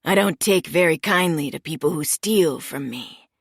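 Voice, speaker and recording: A woman voicing a cold, menacing male crime boss who speaks slowly and deliberately. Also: vocal fry, slightly rough, no echo, studio quality, full character voice. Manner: slow, low and deliberate, sometimes whispered.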